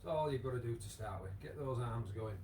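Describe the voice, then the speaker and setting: A man with a croaky voice, leading a live online exercise class with many fillers. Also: mid-range voice, fairly quick, darkish tone, a little hiss or hum. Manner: chatty, encouraging, lots of fillers. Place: home room with wall and bar. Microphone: device camera, moves away at times.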